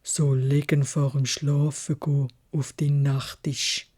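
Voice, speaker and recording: Woman voicing a slow, low, sorrowful beast. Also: flat delivery, mellow. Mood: resigned, sad. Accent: Swiss German. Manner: slow, halting, heavy-hearted. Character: sorrowful gentle male beast in love.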